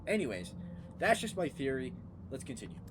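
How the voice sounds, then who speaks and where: Gaming creator, male, hyped rambling commentary, loud game music behind. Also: mid-range voice, brisk. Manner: excitable, breaks into loud outbursts and rambles. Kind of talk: casual gameplay commentary.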